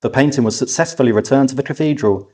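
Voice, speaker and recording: A man reading a children's story aloud as an expressive narrator, with animated delivery and dramatic pauses. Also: medium pitch, husky. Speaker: author reading a competition-winning story aloud.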